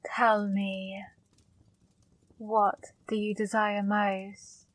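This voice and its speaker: A woman playing a flirty, controlling female demon in an ASMR roleplay, speaking slowly, softly and commandingly into a close mic. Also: mid-range voice, level tone, no room sound. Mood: seductive. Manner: slow, soft, commanding.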